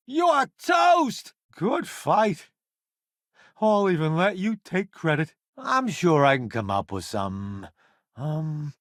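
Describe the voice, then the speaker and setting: A man's voice: fairly high pitch, a bit bright, no room sound, clean studio sound, heavily acted. A man playing a vain, flamboyant food critic turned ship's cook in a video game, with a wry, theatrical delivery. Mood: urgent, combative. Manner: theatrical, wry, self-promoting, drawn-out emphatic delivery. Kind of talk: video game companion voice acting.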